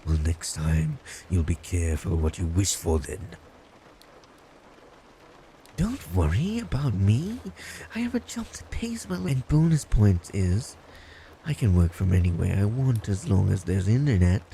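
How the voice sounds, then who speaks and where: Casting: male actor as smug obsessive stalker boyfriend for audio roleplay, dark mellow voice with unhurried delivery, blunt, creepy, faint noise behind. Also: low voice, big pitch swings, gravelly, heavily acted, poor audio. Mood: smug, taunting. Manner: blunt, condescending, teasing. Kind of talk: boyfriend roleplay audio, intimate scripted monologue.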